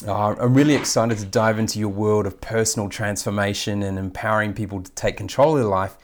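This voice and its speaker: A man's voice: lowish voice, steady tone, quick pace, a little hiss or hum. A man hosting a podcast, reading a formal, effusive guest introduction and then asking questions. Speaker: podcast host. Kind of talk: scripted guest introduction and interview.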